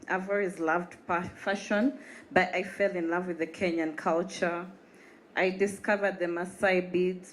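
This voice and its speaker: A woman from Uganda introduces herself and tells how her fashion business began. Her voice sits a little low and her telling is friendly. Faint noise runs under the live-stream audio. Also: poor audio. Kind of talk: casual onstage talk.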